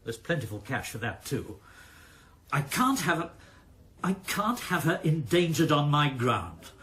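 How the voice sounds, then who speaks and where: A senior police chief in a radio play, fairly high in pitch. He is formal, earnest and worried, indignant about doing things properly. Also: very animated, measured pace, fairly bright tone. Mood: anxious, urgent. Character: principled, anxious, exasperated chief of police. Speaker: actor in radio drama. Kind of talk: 1990s radio drama acting.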